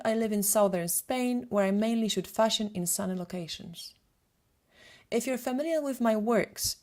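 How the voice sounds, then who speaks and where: A woman in her thirties with a Polish accent, a photographer presenting a prepared webinar in a steady, read-from-notes way. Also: mid-range voice. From Poland.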